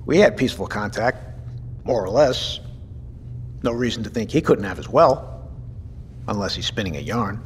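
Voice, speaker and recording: Audio drama captain male actor: middle-aged, courteous leader, mid-pitched with lively intonation, long room reverb. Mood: pensive. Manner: measured, thoughtful. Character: measured, courteous male captain and father leading a settlement. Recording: reverberant room with faint noise.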